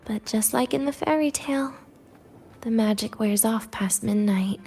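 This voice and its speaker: A breathy, wistful young game heroine. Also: female voice, fairly high pitch, normal speed, darkish tone, soft background music. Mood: bittersweet, teasing. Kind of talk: video game character acting.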